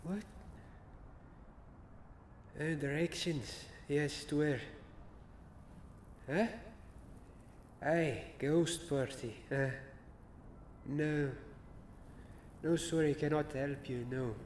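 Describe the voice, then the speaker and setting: A man puts on a grumpy portrait on a castle wall who wants nothing to do with visitors. He answers in short, halting, dismissive phrases, echoing amid clear background noise in a fantasy ASMR roleplay. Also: on the high side, steady tone, a little mellow, pitched above the performer's own voice. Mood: puzzled, dismissive. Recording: echoey, noisy ambient backdrop.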